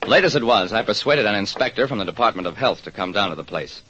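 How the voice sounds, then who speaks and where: A man playing a wry, steady American private detective, narrating colloquially in 1940s radio drama style. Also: fairly low pitch, fast, close to an everyday voice. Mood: brisk, steady. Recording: dull, clearly noisy old radio recording.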